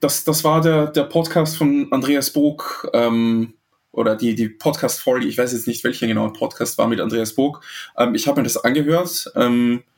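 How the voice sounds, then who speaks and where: A man's voice: medium pitch, normal speed. A man from Austria, in his thirties, speaking as a podcast guest, hesitant with many fillers and restarts. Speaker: interview guest. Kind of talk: podcast interview.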